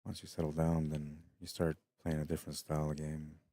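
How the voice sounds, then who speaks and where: A middle-aged man commentating a pool match in a hushed, unhurried voice with a very deep bass, tired late in the day. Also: flat delivery. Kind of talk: quiet live sports commentary. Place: pool hall during a tournament.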